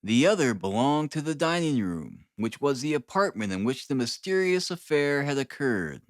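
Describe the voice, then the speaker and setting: Guy reading an old novel, measured and dramatic, pitch moves around a lot, no echo. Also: big pitch swings, clean studio sound. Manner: measured, deliberate. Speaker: audiobook narrator. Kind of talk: dramatic scripted literary narration.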